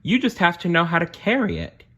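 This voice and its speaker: A man's voice: sits high, wildly swooping pitch, a little fast, fairly bright tone, highs cut off, pitched above the performer's own voice, exaggerated voice. A man voicing a stern, bossy castle housekeeper woman from a storybook, emphatic and insistent. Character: bossy, stern female castle housekeeper, snobbish about weddings.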